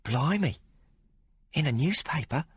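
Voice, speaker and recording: A man voicing a cocky, streetwise boy urchin in shrill, excited shouts. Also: measured pace, slightly rough, full character voice. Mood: cheeky, wary.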